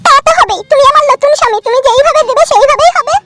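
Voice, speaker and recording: A woman voicing a squeaky, obedient young bride in a comedy cartoon. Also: lively intonation, very fast, loud music behind the voice. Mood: chatty.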